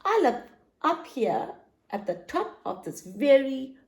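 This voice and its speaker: Hooting owl as a woman reader puts it on; high, lilting, storybook-gentle. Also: very animated, slow pace, reverberant, heavily acted. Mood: friendly. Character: hooting owl living in a tall tree.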